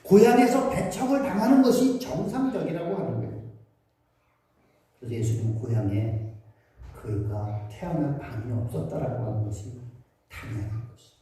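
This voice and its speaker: A man's voice: medium pitch, animated, moderate pace, mellow. A middle-aged male pastor giving a Christmas sermon, telling stories and asking his congregation questions. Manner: storytelling, acting out voices of villagers and asking the congregation questions. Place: church sanctuary. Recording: very echoey church hall, voice from some distance.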